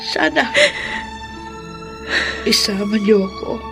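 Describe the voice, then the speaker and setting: Slow-speaking, remorseful older mother. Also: mid-range voice, gravelly, music in the background. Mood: remorseful, pleading. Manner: halting, emotional delivery. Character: remorseful older mother-in-law seeking forgiveness. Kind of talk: Filipino radio drama acting.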